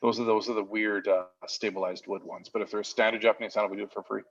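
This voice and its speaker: A man's voice: fairly low pitch, little pitch movement, fast, gravelly, muffled recording. A man co-hosting a casual live-stream chat, reading out viewer questions in a joking, chatty way. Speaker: co-host of a knife shop Q&A stream.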